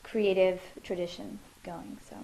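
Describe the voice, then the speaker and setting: A woman's voice: fairly low pitch, level tone, a little slow, vocal fry, warm tone, slight background noise, poor audio. A woman musician answering interview questions in her living room, thoughtful and loose, with many fillers and restarts.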